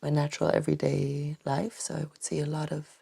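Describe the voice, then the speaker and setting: A woman doctor from Tanzania answering interview questions thoughtfully, with many hesitant restarts and soft trailing phrases. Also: low voice, monotone, brisk, creaky, slightly rough. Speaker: doctor and acupuncturist, podcast guest. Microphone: podcast microphone.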